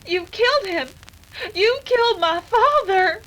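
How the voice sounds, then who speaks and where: Woman as a high-voiced spirited ranch girl. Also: animated, unhurried, fairly bright tone, full character voice, poor audio. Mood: defiant anger. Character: spirited, earnest young rancher's daughter. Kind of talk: 1930s western radio acting.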